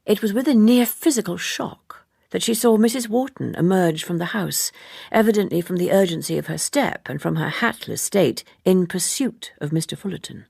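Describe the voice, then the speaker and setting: Very creaky, husky woman narrator. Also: on the low side, animated, moderate pace, warm tone, dry recording, studio quality. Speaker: audiobook narrator. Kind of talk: literary scripted narration.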